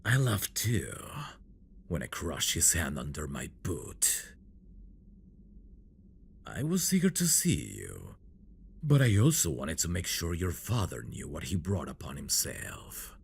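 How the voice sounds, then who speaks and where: A man playing an obsessive, menacing dragon-blooded prince in an ASMR fantasy roleplay, speaking in a brooding, gravelly voice with possessive endearments. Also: wildly swooping pitch, slight background noise, heavily acted. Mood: vengeful, menacing. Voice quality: deep, gravelly. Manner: slow, brooding, menacing endearments, dropping into whispers. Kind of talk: ASMR roleplay, dramatic monologue.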